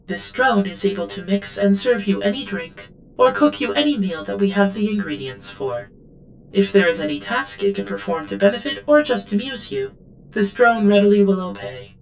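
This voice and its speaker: A woman playing an obedient, guarded android servant in an audio drama, speaking in a formal, measured and deferential way. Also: mid-range voice, lively intonation, gravelly, faint music behind, low-quality recording. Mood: compliant, formal. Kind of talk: scripted audio drama acting.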